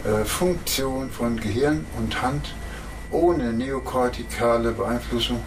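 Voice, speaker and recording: An older man recounting memories in conversation, speaking haltingly and repeating words. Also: medium pitch. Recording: noisy location recording, distant microphone.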